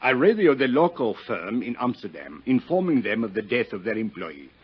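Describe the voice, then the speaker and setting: An actor playing a suave, talkative French-accented police inspector in a 1950s radio drama, effusive and courtly. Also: male voice, mid-range voice, big pitch swings, normal speed, slightly rough. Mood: officious, precise. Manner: effusive, courtly, repeats words for emphasis. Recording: muffled, lo-fi vintage radio broadcast.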